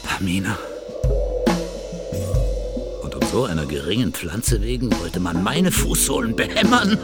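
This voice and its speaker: A hungry, furtive villain of a radio fairy tale, sorry for himself and full of lust. He speaks slowly and theatrically, his pitch leaping about. Loud music and drums play behind him. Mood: furtive longing. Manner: theatrical, halting, hungry soliloquy. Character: lustful, self-pitying outcast villain sneaking after a sleeping girl. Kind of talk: German radio play acting.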